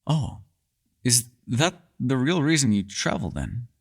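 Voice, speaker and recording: A man's voice: mid-range voice, animated, a little slow, creaky, husky, heavily acted. Casting: male actor for a bookish, secretive elf in fantasy ASMR roleplay; mid-range male voice, swooping intonation, playful and faintly formal. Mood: intrigued, teasing. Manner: theatrical, playful, slightly formal phrasing. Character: mysterious male elf, erudite, teasing, secretive.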